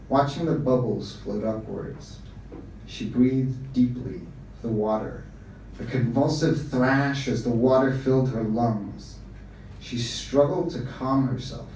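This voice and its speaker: A man giving a live reading of a short story at a bar event, in a measured, even storytelling manner. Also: medium pitch. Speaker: story reader. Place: bar event room, indoors. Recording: echoey room from far off, faint noise.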